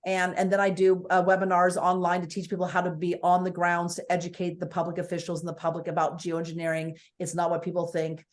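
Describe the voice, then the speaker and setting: A woman with a booming voice, a guest speaking forthrightly and with energy in an interview over a video call. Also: fast talker, hardly any pauses. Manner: forthright, energetic, piles on points.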